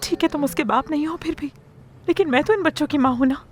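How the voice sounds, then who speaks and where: A woman's voice: high voice, fast, faint music behind, natural voice. A woman playing an anguished mother in a dubbed drama, pleading tearfully for her son. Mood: indignant, distressed. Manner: tearful, imploring.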